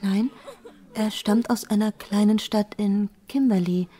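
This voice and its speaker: A composed rabbi's widow, played by a woman with a slight foreign accent, explaining herself calmly and politely. Her voice is low and warm, with a soft, dark tone. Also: close to an everyday voice. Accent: slight foreign accent in German. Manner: calm, measured explanations. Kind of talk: audio drama acting.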